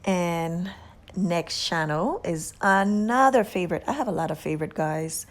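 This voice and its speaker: A woman with a Haitian accent hosts a casual vlog, giving gushing shout-outs at a slow, unhurried pace. Her voice sits a little low. She stretches her emphasis and drops into soft whispery asides. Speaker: beauty channel host.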